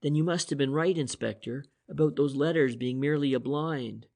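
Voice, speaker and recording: A man voicing a puzzled, hesitant male companion of an inspector who speaks in a halting way. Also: on the high side, creaky, darkish tone. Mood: agreeing.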